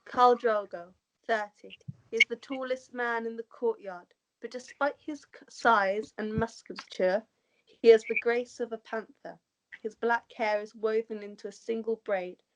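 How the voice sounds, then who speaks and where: A woman's voice: on the high side, background noise. A woman narrating the stage directions of a script at an amateur table read.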